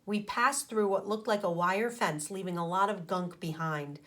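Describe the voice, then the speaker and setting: Female schoolteacher, mid-pitched, expressive and emphatic, for children's read-aloud and explanation. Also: normal speed. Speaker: teacher reading aloud to pupils. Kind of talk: storybook narration and explaining to children.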